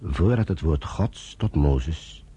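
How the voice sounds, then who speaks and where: A solemn old retired general narrates a radio play in a grave, measured way. His voice is very deep and heavily husky, with a dark, mellow tone. He moves through a wide, lively pitch range. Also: heavily acted. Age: older. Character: retired British army general, older man, solemn. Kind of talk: 1950s radio drama narration.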